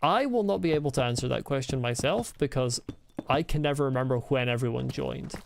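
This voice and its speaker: Gravelly mild Scottish man chatting. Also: medium pitch, brisk, slight background noise. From Scotland. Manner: rambling, chuckling, replying to chat messages. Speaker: streamer. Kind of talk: casual live-stream chat while gaming.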